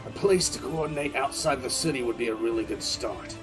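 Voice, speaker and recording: A man's voice: mid-range voice, brisk, music in the background, lightly acted. A man playing a grim, battle-weary military commissar, narrating dramatically in the first person for an audio drama. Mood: grim, sneering.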